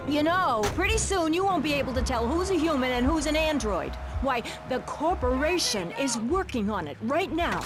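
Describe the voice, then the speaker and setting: A woman's voice: sits high, very animated, normal speed, few pauses, over music. A woman acting a prim, chattering, opinionated suburban mother on a satirical radio panel in a game. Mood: earnest opinionated. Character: prim, moralizing suburban mom, cheerfully opinionated and dim.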